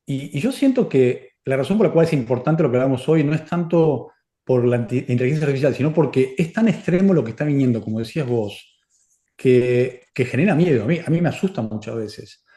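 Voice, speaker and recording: A middle-aged man whose first language is Spanish, answering audience questions in a casual, animated way with many restarts. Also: mid-range voice, brisk, roomy sound. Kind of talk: casual Q&A talk.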